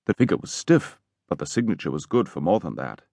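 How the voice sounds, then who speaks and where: A man playing an indignant English gentleman who recounts a horrible incident in a vivid, emphatic way, as audiobook storytelling. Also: low voice, big pitch swings, a little fast, dry recording, clean studio sound, full character voice. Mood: confiding.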